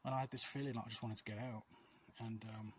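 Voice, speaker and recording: A man chatting casually and confessionally while out walking, hesitant, rambling and self-deprecating, recorded outdoors on a quiet street. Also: mid-range voice, fairly even intonation, quick pace. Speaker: vlog host. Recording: noisy outdoor recording with clicks.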